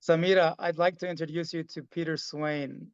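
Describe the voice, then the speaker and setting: A man hosting a live guided meditation over a video call, speaking slowly and softly. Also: a little high, level tone, smooth, no echo, dull audio. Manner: slow, soft, soothing delivery. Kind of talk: guided meditation, then casual chat.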